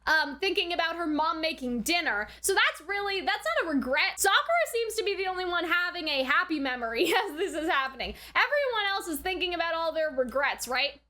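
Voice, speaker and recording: A woman giving casual, chatty anime reaction commentary in an animated way, often adding "like" and checking in with the listener. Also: extremely high pitch, brisk, few pauses. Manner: chatty, animated, frequent "like" and "right?". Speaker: anime reviewer.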